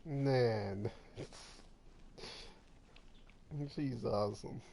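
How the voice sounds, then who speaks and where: Slow-talking excitable male game streamer. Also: mid-range voice, a little hiss or hum. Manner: excitable, halting, repeats words. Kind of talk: casual gameplay commentary.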